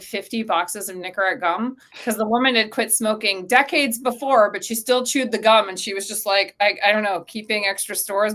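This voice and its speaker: A woman's voice: medium pitch, fairly bright tone. A woman explaining things in casual, informal interview talk, sprinkled with anecdote. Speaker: company co-founder, interview guest.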